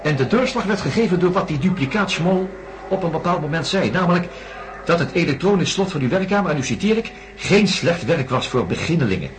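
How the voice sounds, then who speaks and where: A man's voice: a little high, lively intonation, fairly quick, natural voice. An actor playing a doctor in a radio drama, patiently laying out his theory in an earnest, explanatory way. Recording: noisy radio play recording.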